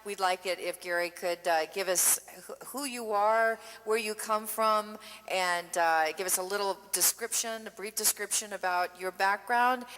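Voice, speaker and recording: Mid-pitched woman hosting a meeting. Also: a little slow, hardly any pauses, fairly bright tone, low-quality recording. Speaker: radio show host. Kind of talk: informal event introduction. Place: indoor meeting room.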